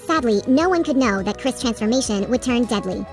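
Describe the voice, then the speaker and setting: A woman's voice: a little high, animated, a little fast, a little mellow, soft background music. A woman reading a scripted true-crime news narration as a voice-over.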